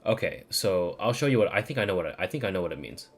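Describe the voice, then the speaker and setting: A woman's voice: extremely low pitch, expressive, fast talker, faint music behind. A woman game streamer chatting casually and reading out dialogue during a playthrough.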